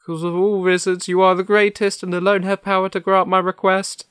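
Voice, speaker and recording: A man's voice: sits high, flat delivery, fairly quick, fairly bright tone, clean tone, no echo, clean studio sound, voice pushed up. A man voicing a cowardly, blustering lion in a children's storybook, dramatic and earnest. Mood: timid, pleading. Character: cowardly male lion, blustering yet timid and pleading.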